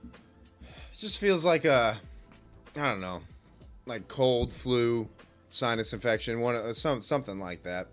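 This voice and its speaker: A man with a mildly congested, stuffed-up nose and a somewhat low-pitched voice talks as a livestream host. He is laid-back and informal, rambling through market commentary and casual chat, with faint music behind him. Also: lively intonation, takes it slowly, pauses often. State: stuffy nose and cough from a cold. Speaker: livestream host, futures day trader.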